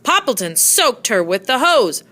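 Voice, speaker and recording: A woman narrating a children's storybook aloud with expressive storytelling delivery. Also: on the high side, a little slow. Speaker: storybook reader.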